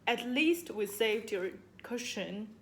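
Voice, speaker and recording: A woman's voice: on the high side, expressive, slow pace, a bit bright, exaggerated voice. A woman voicing a shy, protective father bear in a storybook, consoling and a little grumbling.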